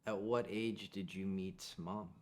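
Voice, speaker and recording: A young man hosting a podcast, speaking softly and respectfully as he introduces and questions his guest. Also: sits low, fairly even intonation, a little slow. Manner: soft-spoken, warmly respectful. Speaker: podcast host, interviewing his father. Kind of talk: podcast interview.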